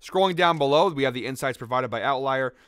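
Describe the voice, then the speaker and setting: Fast-talking, slightly raspy betting-picks host. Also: male voice, mid-range voice, fairly bright tone, no room sound. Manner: quick, upbeat, rattles off stats with fillers. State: slightly raspy from a late night out. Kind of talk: fast casual betting-tips monologue.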